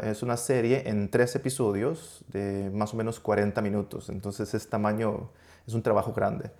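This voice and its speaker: A middle-aged man from Costa Rica, a guest talking about his documentary in a casual interview, often pausing and restarting his sentences. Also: medium pitch, darkish tone. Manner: hesitant, many restarts and fillers. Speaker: guest, documentary filmmaker and media professor.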